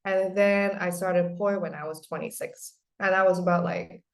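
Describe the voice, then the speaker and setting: A young woman in her twenties with a slightly low-pitched voice, presenting to a group in a loose, chatty way. She talks as a studio founder and fills her sentences with "like" and "you know". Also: fairly even intonation, normal speed, creaky. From Hong Kong. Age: 20s. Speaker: studio founder giving a talk. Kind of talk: informal presentation.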